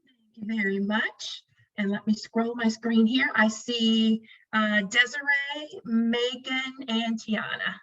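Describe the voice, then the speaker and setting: A woman's voice: on the high side, steady tone, takes it slowly, fairly bright tone. A woman moderating a panel over a video call, chatty and friendly, with many fillers. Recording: dull lo-fi call audio.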